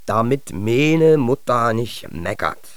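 An actor playing a mocking storyteller of childhood memories in a radio drama, with drawn-out, exaggerated delivery. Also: male voice, mid-range voice, very animated, smooth, dry recording. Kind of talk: acted radio drama interjections.

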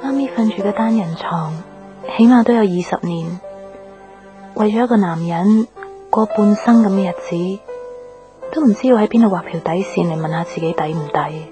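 A woman's voice: medium pitch, moderate pace, music in the background, natural voice. An actress playing a troubled, dutiful young woman in a Hong Kong Cantonese radio drama, speaking in a subdued, reflective manner. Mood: brooding. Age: young adult. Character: troubled, dutiful young daughter, lovelorn and introspective. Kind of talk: Cantonese radio drama acting with inner monologue.

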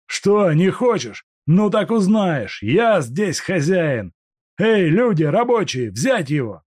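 A furious factory boss bellows commands and insults, high and strained, as if he owns everyone in earshot, a male reader putting him on. The sound is close and dry. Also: big pitch swings, slow, husky, dry recording, exaggerated voice. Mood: raging. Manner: shouting, commanding, contemptuous.

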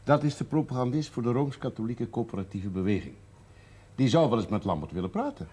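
An actor playing a lonely, blunt man in his seventies in a naturalistic television drama, with a husky voice. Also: mid-range voice, sing-song, fairly quick, dark tone. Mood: businesslike, persuasive. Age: older.

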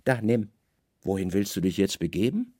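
A man playing a grave, weary Roman governor in a radio drama, speaking quietly with measured authority. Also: fairly low pitch, animated, breathy edge, no echo, studio quality, voice pushed down. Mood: curt.

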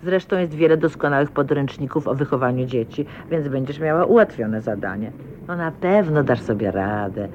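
Fussy older aunt, radio play, pitch swoops all over, stammery, bit muffled and hissy. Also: female voice, lively intonation, moderate pace, darkish tone. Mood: coaxing, prim. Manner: flustered, stammering, repeating herself. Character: fussy, easily scandalized older aunt urging a match. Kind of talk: Polish radio drama acting. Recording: dull, noisy archive radio recording.

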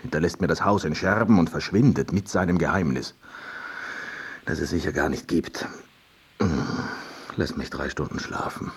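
A man's voice: rumbling low, normal speed, long pauses, full character voice. A male actor playing a sleep-deprived, wry neighbor who mutters and grumbles drily in a German radio drama. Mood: weary, exasperated. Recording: clear background noise.

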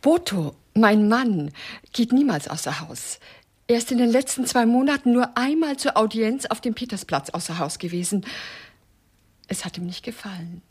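Husky, prim dutiful wife. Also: female voice, a little high, animated, normal speed. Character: dutiful, prim wife vouching for her reclusive husband. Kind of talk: 1990s German radio play acting.

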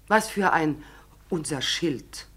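Brusque, callous housewife, animated voice. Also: female voice, low-pitched, slow, breathy edge, full character voice. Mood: curt, suspicious. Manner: blunt, offhand, sardonic. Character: brusque, callous, gossipy woman, new tenant at the door. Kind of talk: 1940s German radio drama acting.

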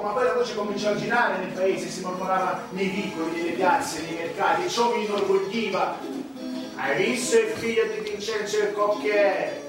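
An actor playing an arrogant, unrepentant Sicilian mafioso, delivering a solemn, declamatory stage monologue with dramatic pauses. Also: male voice, high voice, close to an everyday voice. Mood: proud, reminiscent. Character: proud unrepentant Sicilian male mafioso confessing, arrogant, self-righteous. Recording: echoey distant stage recording with music. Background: music.

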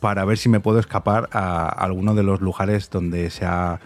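Low-voiced man from a Spanish podcast interview. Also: fairly even intonation, moderate pace, dry recording. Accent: Spain. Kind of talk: conversational interview.

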